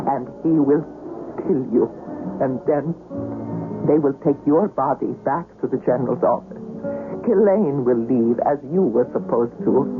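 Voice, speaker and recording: An actress playing an anxious woman who warns her lover, in 1970s radio drama style. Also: low-pitched. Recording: muffled narrow-band old radio broadcast, music behind.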